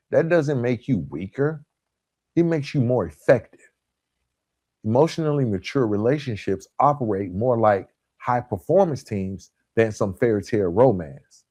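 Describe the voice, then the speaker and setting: A man hosting a motivational coaching monologue, speaking directly and informally to listeners with emphatic, deliberate phrasing and repetition. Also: mid-range voice, warm tone, no echo. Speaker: podcast host and author.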